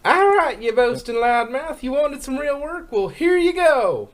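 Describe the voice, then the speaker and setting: Man putting on a brash farm mare, animated pitch. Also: unhurried, exaggerated voice. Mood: boastful challenge. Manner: folksy, bossy. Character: brash female farm pony with country drawl.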